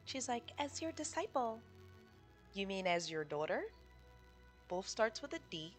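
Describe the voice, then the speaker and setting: A woman's voice: mid-range voice, sing-song, measured pace. A woman giving casual, teasing and gushing commentary as she reacts to a video game. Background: game music.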